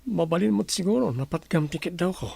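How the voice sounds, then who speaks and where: A man playing an earnest, gentle suitor in an Ilocano radio drama. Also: high-pitched, animated, a little fast, creaky, slightly rough, no echo, full character voice. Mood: earnest, soft. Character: earnest, gentle male suitor reassuring his sweetheart.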